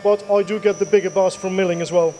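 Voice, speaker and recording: A man's voice: high voice, steady tone, quick pace, mellow, slight background noise. A man in his thirties, a workshop owner, answering interview questions plainly with many fillers. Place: machine workshop indoors. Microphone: handheld mic.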